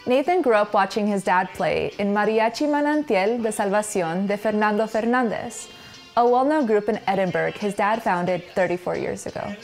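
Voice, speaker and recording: Casting: young adult female documentary narrator, scripted storytelling read, medium pitch, music bed. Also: moderate pace, a little mellow.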